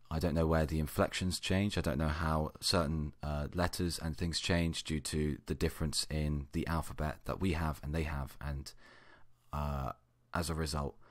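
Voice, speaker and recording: A man's voice: a bass voice, dry recording. A man who is an amateur story reader, both chatting casually and narrating fan fiction.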